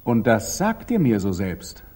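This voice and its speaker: An actor playing a proud, curt, sardonic knight templar in a theatrical 1940s German radio drama. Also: male voice, lowish voice, wildly swooping pitch, unhurried, a little mellow, husky, exaggerated voice. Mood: wry. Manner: clipped, theatrical, wry.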